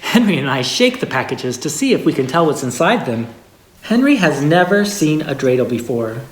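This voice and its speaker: A person reading a holiday story aloud in a measured storybook way with expressive pauses. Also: mid-range voice, a little hiss or hum. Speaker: story reader. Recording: echoey room.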